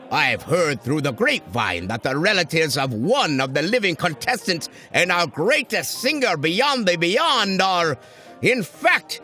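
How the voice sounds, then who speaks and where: An actor playing a calm, patient small-town cartoon sheriff, measured, folksy and diplomatic. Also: male voice, fairly high pitch, full character voice.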